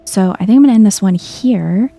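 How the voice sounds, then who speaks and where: A soft-spoken woman giving a chill, quiet ASMR-style commentary as she plays a video game. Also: medium pitch, a little fast, vocal fry. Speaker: gaming video host.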